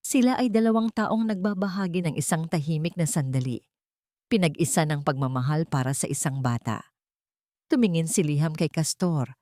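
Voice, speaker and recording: A woman narrates a romance tale with measured drama. Her voice sits a little low and has a soft, mellow tone. The recording is dry and close, with very little room sound. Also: no room sound. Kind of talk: scripted romance story narration.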